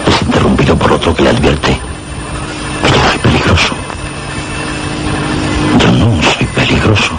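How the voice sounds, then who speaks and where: A man's voice: very deep voice, heavy background noise. An actor playing a haunted, confined man who halts and repeats himself in a Spanish suspense radio drama, with a Castilian accent. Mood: wounded, indignant. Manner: agitated, halting, repeating words. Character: haunted confined man recounting horror, insisting he is harmless.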